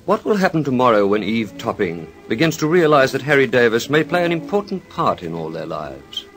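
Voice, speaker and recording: Casting: male vintage serial announcer, dramatic and very varied pitch, affected American accent, music underneath. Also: sing-song, brisk. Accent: American, put on by an Australian. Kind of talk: dramatic old radio serial narration.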